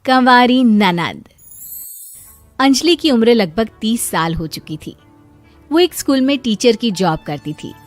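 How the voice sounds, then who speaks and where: Woman narrator, scripted storybook, calm, explanatory, faint music. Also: fairly high pitch, unhurried, long pauses, no echo.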